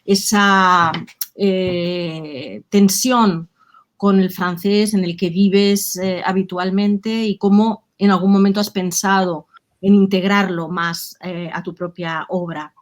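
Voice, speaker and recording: A woman moderating a literary discussion, measured and somewhat halting as she frames her questions. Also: mid-range voice, fairly even intonation. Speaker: panel moderator.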